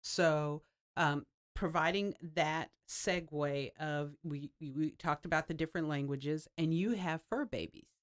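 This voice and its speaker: A woman's voice: deep voice, a little slow. A woman from the United States hosting an interview, affirming her guest often and talking in long winding turns. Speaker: interview host.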